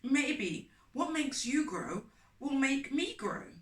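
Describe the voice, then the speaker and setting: A woman's voice: high voice, sing-song, takes it slowly, voice pushed up, exaggerated voice. A woman voicing an earnest, guilty young boy in a children's storybook. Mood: earnest, wondering. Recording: roomy echo, distant microphone.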